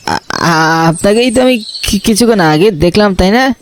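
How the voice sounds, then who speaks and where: A man's voice: high-pitched, lively intonation, moderate pace, faint music behind. An actor playing a nervous, curious young man narrating his own horror cartoon tale. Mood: startled. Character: nervous, curious young male photographer telling his ghost story.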